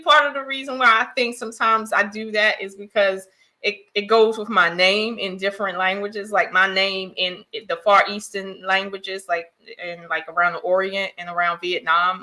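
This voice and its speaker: A woman's voice: mid-range voice, steady tone, normal speed, sharp tone. A middle-aged woman, a children's book author, chatting as a guest on a podcast and laughing often as she tells her story. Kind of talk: casual podcast chat.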